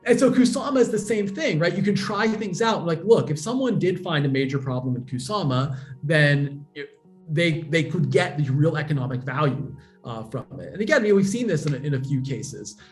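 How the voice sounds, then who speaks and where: A man's voice: a little high, lively intonation, brisk, faint music behind. A man, a guest on a remote interview, patiently explaining technology with everyday examples. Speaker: guest, blockchain educator. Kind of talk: casual explanatory interview talk.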